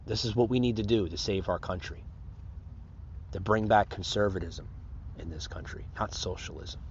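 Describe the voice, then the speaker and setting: A man's voice: on the low side, normal speed, highs cut off, a little hiss or hum. An American man hosting a podcast in a casual, unscripted monologue, rambling and repeating himself as he addresses his listeners. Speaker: podcast host.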